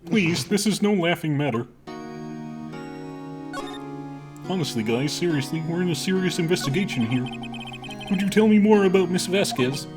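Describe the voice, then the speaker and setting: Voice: mid-range voice, animated, fairly quick, close to an everyday voice. A streamer playing an earnest young male defense lawyer in a live game dub, with dry, sarcastic asides. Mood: stern, probing. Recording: music playing behind the voice.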